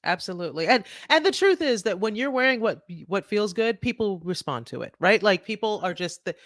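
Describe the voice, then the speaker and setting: A middle-aged woman from Trinidad, a guest author talking in an animated way with many restarts and "right?" tags. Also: mid-range voice, fairly quick, dry recording, clean studio sound. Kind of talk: conversational interview.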